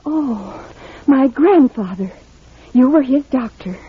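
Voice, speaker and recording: A woman's voice: sits high, expressive, slow, breathy edge, heavily acted. Earnest, anxious young lady, 1940s radio drama, very veiled voice, muffled old recording. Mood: earnest, troubled. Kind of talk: 1940s American radio mystery acting.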